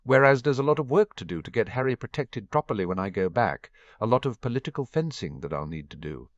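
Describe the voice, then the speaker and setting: A man voicing a warm, worried adult man, a protective godfather, in an audiobook reading; he sounds earnest and sighs often. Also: mid-range voice, expressive, fast talker, no room sound, voice pushed up, natural voice. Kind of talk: storybook dialogue reading. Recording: dull, dry home recording.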